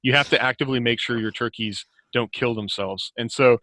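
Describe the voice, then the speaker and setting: An American man with a bright, forward voice talks casually as a podcast guest. The sound is close and dry, and he tells anecdotes in an informal, chuckling way. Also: medium pitch, a little fast, dry recording, poor audio. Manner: informal, chuckles often while talking.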